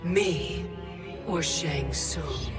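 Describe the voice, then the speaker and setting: Slow, imperious time goddess. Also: female voice, low voice, exaggerated voice. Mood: imperious, goading. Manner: measured, haughty, taunting. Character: imperious, scheming female goddess of time, villainess. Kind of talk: dramatic video game villain acting. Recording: loud music behind the voice.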